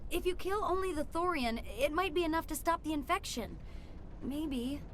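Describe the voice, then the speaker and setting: A woman's voice: high-pitched, moderate pace, a bit bright, a little hiss or hum. A woman playing an earnest, guilt-ridden young scientist in a video game.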